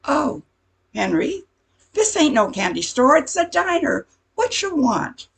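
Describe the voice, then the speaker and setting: A woman's voice: sits high, very animated, a little slow, creaky, heavily acted. A woman playing a brash, touchy diner waitress in a radio comedy play, tough-talking with slangy grammar. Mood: brash, indignant.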